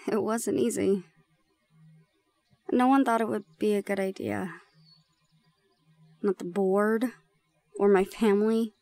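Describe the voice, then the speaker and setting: A woman playing a shy, gentle young gorgon in an intimate audio roleplay, speaking softly and haltingly. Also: mid-range voice, fairly even intonation, no room sound. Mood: reflective, confiding. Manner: soft, hesitant, halting.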